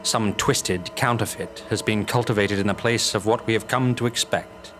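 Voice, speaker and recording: A man playing an eerie narrator, measured and theatrical, introducing an audio drama. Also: lowish voice, steady tone, a bit bright, faint music behind, natural voice. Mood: ominous. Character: grave, mysterious male storyteller of eerie tales. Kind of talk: ominous scripted narration.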